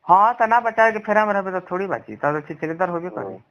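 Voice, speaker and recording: High-pitched, scolding Bhojpuri-accented man. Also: lively intonation, a little fast, fairly bright tone, slight background noise. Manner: blunt, scolding, crude and profane. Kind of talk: heated personal phone conversation. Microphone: phone. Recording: recorded phone call.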